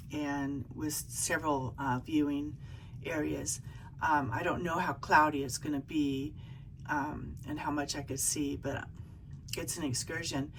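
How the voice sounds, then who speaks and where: A middle-aged woman with a low, croaky, hoarse voice chats casually, circling back with ums and restarts as she talks. Faint background noise sits under her voice. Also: fairly bright tone, low-quality recording. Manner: chatty, with frequent ums and restarts. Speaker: travel vlogger. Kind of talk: casual vlog chat.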